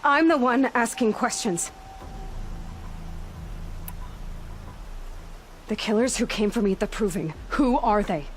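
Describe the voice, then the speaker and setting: An actress playing a determined, guarded young huntress in a video game cutscene, questioning someone in a terse, commanding way. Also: female voice, fairly high pitch, expressive, brisk, slight background noise, lightly acted. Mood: stern, guarded.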